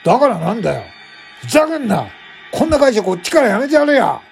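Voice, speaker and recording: Man acting a defiant male office worker, furious, rough-spoken, blunt, voice pushed high, radio drama, music behind. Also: sing-song, fast, full character voice.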